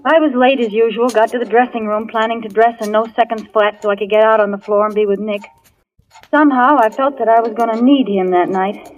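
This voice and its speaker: An actress playing a wisecracking, frightened young dance hall hostess in a 1940s radio thriller, with sassy, streetwise delivery. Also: female voice, on the high side, steady tone, brisk, natural voice. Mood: tense narration. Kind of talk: 1940s radio thriller acting and first-person narration. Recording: muffled old radio recording, music behind.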